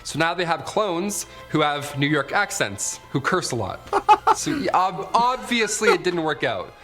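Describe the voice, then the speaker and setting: A man's voice: a little high, big pitch swings, unhurried, pushed and bright. A man hosting a top ten list, mixing scripted countdown narration with casual, joking, sarcastic asides. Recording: music bed behind the voice. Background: background music.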